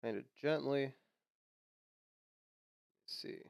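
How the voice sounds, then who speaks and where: Young man, nasal from a cold, rambling casual host, middle pitch, dry close sound. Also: takes it slowly, creaky, clean tone, no echo. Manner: chatty, rambling with fillers and restarts. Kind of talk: casual unboxing and lore talk.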